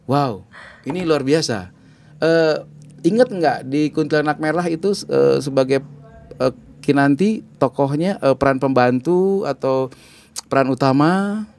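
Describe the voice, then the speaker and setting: A man hosting a chatty interview, playful and given to sudden high-pitched exclamations. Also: unhurried, a little mellow, a little hiss or hum. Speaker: interviewer host. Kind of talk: chatty talk-show interview.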